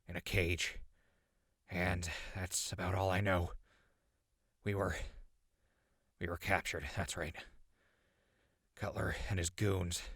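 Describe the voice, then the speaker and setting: A man as a gravelly, low-voiced rattled survivor. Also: fairly bright tone, no room sound, studio quality, exaggerated voice. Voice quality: gravelly, husky. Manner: halting, muttering to himself, exclaiming. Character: rattled captive male survivor who narrates into his recorder. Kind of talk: post-apocalyptic audio drama, self-recorded diary monologue.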